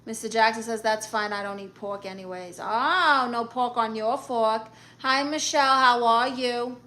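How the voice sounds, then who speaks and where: A woman from Brooklyn with a thick New York accent, bantering through viewer comments on her live stream. Her voice is bright and sits a little high, and she delivers everything with brash, joking sass. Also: measured pace. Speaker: livestream host. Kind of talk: casual live chat reading comments.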